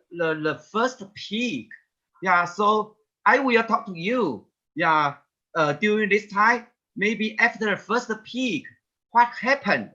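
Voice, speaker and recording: Bright-voiced man, halting and slow. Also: high-pitched. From Taiwan. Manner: halting, many yeah fillers and restarts. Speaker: guest presenter. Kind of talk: webinar presentation. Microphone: video call. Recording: muffled lo-fi video call.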